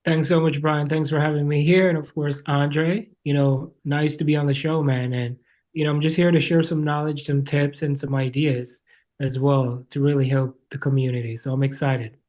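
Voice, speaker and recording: A man's voice: on the high side, level tone, moderate pace, darkish tone. A man from Jamaica with a Jamaican accent, a podcast guest telling his life story in an earnest, casual way, full of "you know" fillers.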